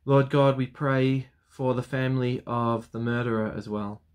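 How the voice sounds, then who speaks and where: A clergyman in his thirties speaks at his desk in a small study lined with bookshelves. His voice sits at an ordinary pitch for a man. He talks earnestly to his viewers and settles into a solemn, measured prayer cadence. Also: steady tone, smooth. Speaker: Anglican clergyman. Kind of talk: vlog talk leading a spoken prayer. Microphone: webcam framing at desk.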